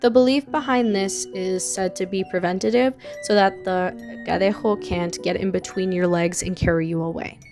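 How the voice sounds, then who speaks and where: A woman's voice: fairly low pitch, darkish tone. A young woman hosting a folklore channel, narrating an explainer with chatty asides, filler words and amused comments on close home mic. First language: English. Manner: chatty, laughs at odd details, many fillers. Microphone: close home mic with audio pops.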